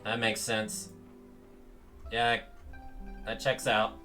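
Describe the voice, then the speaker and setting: Very deep-voiced, bright woman streamer. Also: flat delivery, drawn-out words, faint music behind. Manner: exclamatory, informal. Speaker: game streamer. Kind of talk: casual live reaction commentary.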